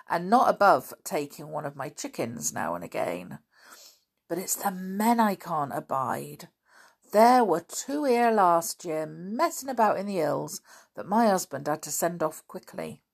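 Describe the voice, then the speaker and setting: A woman's voice: lowish voice, big pitch swings, unhurried, creaky, full character voice. A woman doing a blunt, gossipy farm wife. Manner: indignant, forthright.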